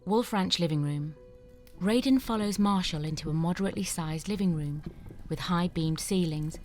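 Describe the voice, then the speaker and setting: A woman's voice: lowish voice, moderate pace, a little mellow. A woman narrator reading screenplay scene descriptions and stage directions as scripted narration, over music.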